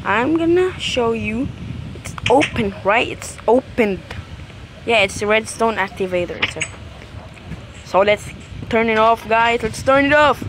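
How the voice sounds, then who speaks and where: A young person giving casual, halting gameplay commentary with repetitive phrasing as the host of a gaming video. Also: animated. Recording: very noisy, windy rumbling microphone.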